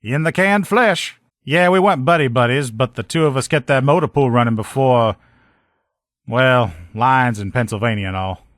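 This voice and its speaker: A man voicing a gruff, loyal military lieutenant in a video game, with blunt, profane, folksy soldier talk. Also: mid-range voice, normal speed, fairly bright tone, no room sound, studio quality, lightly acted. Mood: conversational. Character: gruff, loyal military lieutenant in power armor, protective comrade. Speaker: voice actor in a game mod. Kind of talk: video game character dialogue lines.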